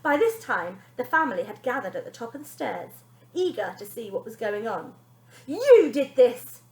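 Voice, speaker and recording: A woman teacher reading a children's story aloud in an expressive style. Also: fairly high pitch, fairly quick, roomy sound.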